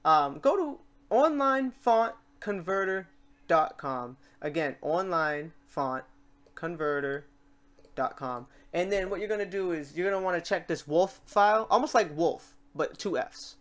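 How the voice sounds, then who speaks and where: A tutorial host talking casually and chattily through software steps in an informal screencast. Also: mid-range voice, lively intonation, measured pace. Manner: informal, chatty step-by-step instruction.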